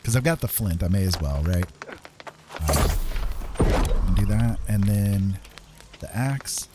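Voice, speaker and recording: Low-voiced man commentating over background noise. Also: lively intonation, slow pace. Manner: thinking aloud, often ending on questioning tags. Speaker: game streamer. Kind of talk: casual gameplay commentary.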